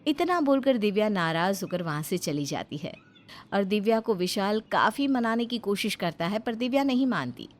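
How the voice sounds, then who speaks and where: A woman's voice: mid-range voice, expressive, normal speed. A woman giving scripted narration of a family story as its narrator.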